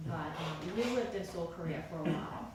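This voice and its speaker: A woman's voice: sits low, brisk, recorded from a distance, noisy background. A woman teaching a class, encouraging and hesitant, with many fillers. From United States, East Coast. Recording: echoey classroom recorded from afar.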